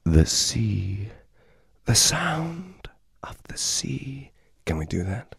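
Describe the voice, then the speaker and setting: An actor playing a seductive, self-satisfied male poet in an audio comedy drama, with a breathy, suggestive drawl. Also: lowish voice, very animated, drawn-out words, no room sound, studio quality, exaggerated voice. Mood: seductive, smug. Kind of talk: audio drama mockumentary acting with poetry recital. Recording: music behind the voice.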